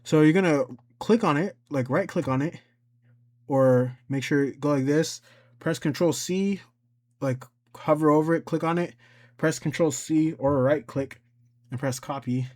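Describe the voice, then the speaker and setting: A man's voice: fairly high pitch, unhurried, darkish tone. Casual man walking through a tutorial. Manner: informal, talking himself through each step. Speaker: tutorial host. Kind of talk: casual screen-recorded software tutorial.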